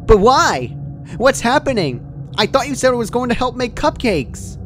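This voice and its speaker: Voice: mid-range voice, sing-song, moderate pace, fairly bright tone, faint music behind, pitched above the performer's own voice. A performer voicing a terrified young female pegasus pony in a fan fiction reading, pleading in a breathless, stammering way. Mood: confused, anxious.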